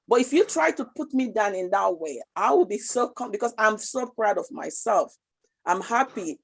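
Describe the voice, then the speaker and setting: A woman's voice: medium pitch, expressive, normal speed, vocal fry, breathy edge. A Nigerian woman speaking with a Nigerian accent in a casual talk show, passionate and emphatic, often repeating herself. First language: Nigerian language.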